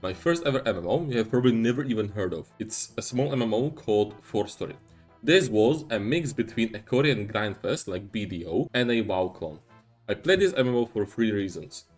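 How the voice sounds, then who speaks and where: Young man, measured and earnest, faint music underneath. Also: lowish voice, expressive. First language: Czech. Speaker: YouTuber. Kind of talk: scripted commentary.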